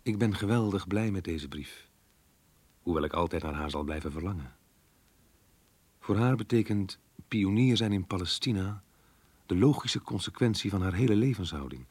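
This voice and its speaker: A man's voice: low-pitched, darkish tone, husky. An actor playing a melancholy, resigned man who reads from his diary in a subdued, reflective way in a radio play. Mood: quietly grateful, wistful. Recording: roomy sound with music behind.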